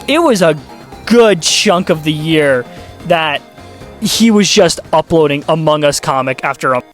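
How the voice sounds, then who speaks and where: Animated, sarcastic commentator over faint music. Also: mid-range voice, measured pace. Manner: exasperated, sarcastic, swears freely. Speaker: YouTube video essayist. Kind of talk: casual commentary with scripted narration.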